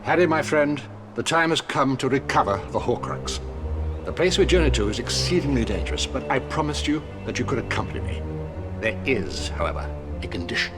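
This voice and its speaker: An actor playing an elderly, commanding wizard headmaster in a video game, speaking formally and solemnly. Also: male voice, medium pitch, expressive, a little fast, music in the background, lightly acted. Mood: grave, commanding. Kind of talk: dramatic video game acting. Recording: loud orchestral score behind the voice.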